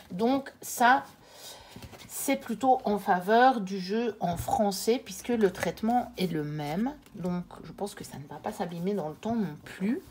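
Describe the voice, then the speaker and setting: A woman hosting her own channel, comparing two products in a casual, hesitant way with frequent filler pauses. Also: medium pitch, a little mellow, a little hiss or hum. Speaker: channel host reviewing tarot decks.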